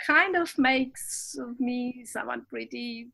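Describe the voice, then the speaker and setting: A woman speaking English as a second language, with an accent, chatting as a podcast guest. Her voice sits a little high, and her delivery is animated. She often laughs at herself and pauses to hunt for the right word. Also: creaky. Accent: non-native English. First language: not English. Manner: animated and self-laughing, searching for words. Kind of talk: casual conversation.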